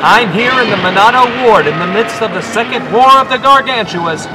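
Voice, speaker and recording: A man playing an excited on-the-scene reporter, giving dramatic live commentary in an audio drama. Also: high-pitched, a little fast, heavy background noise.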